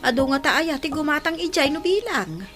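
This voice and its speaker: A woman's voice: high voice, big pitch swings, moderate pace, over music. An actress playing a caring mother of a grown daughter in a radio drama, with a Filipino accent.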